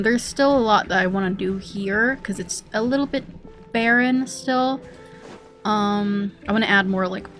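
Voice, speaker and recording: Woman w a scratchy voice rambling thru a game tour, lots of ums, mid pitch, bit of music behind. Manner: rambling, lots of ums and self-corrections, laughs often. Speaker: gaming video creator. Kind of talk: casual game walkthrough commentary.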